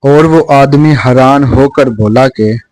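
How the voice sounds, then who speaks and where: A man's voice: mid-range voice, slow pace, a little mellow, faint music behind, low-quality recording. A man narrating a scripted children's story as a close, dry voice-over.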